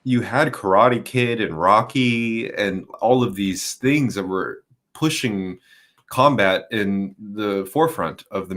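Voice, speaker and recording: A man with a low voice, speaking as a guest in a relaxed podcast chat. His talk is loose and rambling, and he often pauses to search for the right word.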